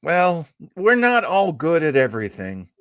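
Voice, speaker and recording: A man's voice: on the high side, huge pitch swings, measured pace, fairly bright tone, clean tone, no echo, clean studio sound. A man hosting a podcast in casual, conversational chat. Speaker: podcast host.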